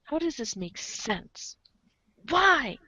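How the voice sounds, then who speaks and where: A woman's voice: high-pitched, very animated, drawn-out words, pushed and bright, husky, no room sound. A woman reading a comic aloud as the show's narrator, theatrical and playful, slipping into casual asides. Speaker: narrator of a comic read-along show.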